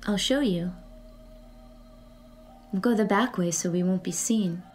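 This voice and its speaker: An actress plays a secretive woman convinced of the spirit world. Her voice is soft-edged and dark, mid-pitched, and she speaks in a measured, cryptic way. Faint music sits behind this suspense audio drama. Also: natural voice. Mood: quietly certain. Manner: measured, cryptic, solemn delivery. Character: eerie, secretive woman who believes in spirits.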